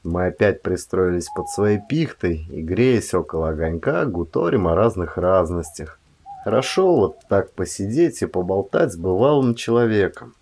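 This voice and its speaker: A man's voice: low-pitched, lively intonation, normal speed, darkish tone, a little hiss or hum. A man reading literary prose as an actor narrating an audiobook, expressively.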